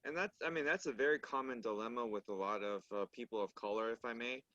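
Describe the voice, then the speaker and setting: A man's voice: medium pitch, pushed and bright, no room sound, lo-fi sound. A man whose first language is Korean, speaking thoughtfully in a casual discussion and often restarting his phrases. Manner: measured, thoughtful, frequent restarts.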